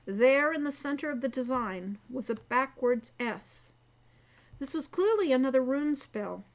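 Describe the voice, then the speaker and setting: A woman reading a scripted fantasy story as an audiobook narrator. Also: sits high. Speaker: author reading own audiobook.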